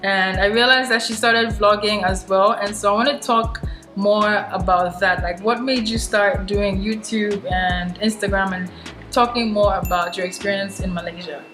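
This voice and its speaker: A woman's voice: mid-range voice, steady tone, normal speed, echoey room, music in the background. A woman from Ghana hosting her own vlog, chatting casually with a guest and laughing often. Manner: chatty and giggly. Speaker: vlogger host.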